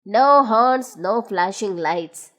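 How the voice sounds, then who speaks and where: A woman putting on a fussy old gnome who speaks low, firm and emphatic. He sounds set in his ways, and his voice still rises and falls a lot in this storybook reading. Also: animated, slow pace, a bit bright.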